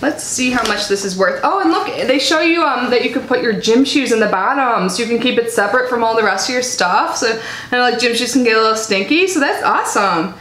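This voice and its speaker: A woman's voice: a little high, animated, a little fast, a bit bright, roomy sound. A young woman chatting casually as she unboxes a subscription box, her voice nasal from allergies and full of "like" and "um.". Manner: chatty and bubbly, lots of likes and ums. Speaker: unboxing vlogger.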